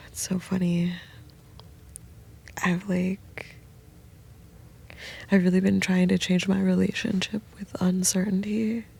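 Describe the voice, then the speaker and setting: Whispering woman, low gravelly murmur. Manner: soft whispering, meandering and intimate. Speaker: host. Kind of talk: whispered late-night rambling. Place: small sound booth, lying on the floor.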